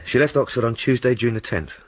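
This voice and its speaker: A man playing a quick, easygoing sergeant. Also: mid-range voice, animated, natural voice. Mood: earnest. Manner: easygoing, plain-spoken. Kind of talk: BBC radio drama acting.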